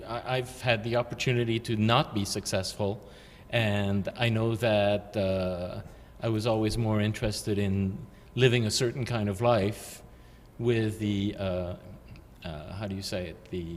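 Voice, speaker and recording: A man's voice: on the low side, a little slow. An older man, a guest artist, speaking thoughtfully and hesitantly in a casual interview, holding a handheld mic in a large bare studio room. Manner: thoughtful, hesitant, with many fillers. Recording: long reverb with faint room noise.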